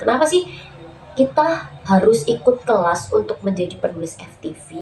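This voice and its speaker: A woman's voice: mid-range voice, dark tone. A woman hosting a casual tutorial vlog, nasal and stuffed up from a cold, chatting with lots of fillers and calling her viewers guys. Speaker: YouTube host giving writing tips. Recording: roomy echo, faint background noise.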